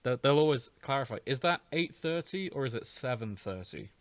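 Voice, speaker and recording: A man's voice: medium pitch, animated, fairly quick, no echo. A British man hosting a podcast, chatting casually in a wry, self-deprecating way. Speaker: podcast host. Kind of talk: casual chat.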